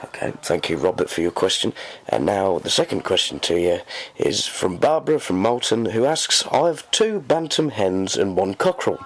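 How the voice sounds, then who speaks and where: A man, reading scripted links and questions as a radio presenter. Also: low voice.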